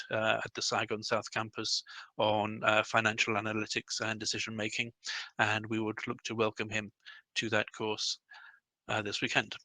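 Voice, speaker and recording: A male lecturer presenting on a webinar, with frequent pauses and "uh". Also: lowish voice, sharp tone, dry recording. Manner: halting, with frequent "uh". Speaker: program lecturer.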